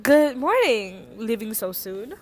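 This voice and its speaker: A woman's voice: a little high, big pitch swings, heavily acted. A woman playing an obsessive, eerily polite male host, a grieving maniac, in an amateur radio drama. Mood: eerily polite, insistent. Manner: insistent, deliberate, menacing politeness.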